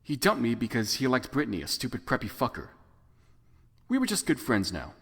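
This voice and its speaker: A man's voice: mid-range voice, wildly swooping pitch, fairly quick, fairly bright tone, husky, dry recording, studio quality. A man narrating fan fiction as a comic dramatic reading, in a theatrical, exaggerated manner.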